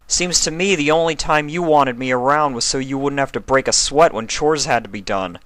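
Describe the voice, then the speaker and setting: A man's voice: mid-range voice, moderate pace, fairly bright tone, dry recording, pitched above the performer's own voice, lightly acted. A man voicing a hurt young male pony in a story reading, earnest and emphatic. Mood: bitter.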